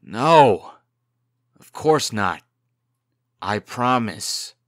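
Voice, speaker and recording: A man's voice: medium pitch, animated, extremely slow, no room sound, studio quality. A man playing a cocky, glib and defensive young fairy-tale trickster in a comic dub drama. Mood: evasive, insistent.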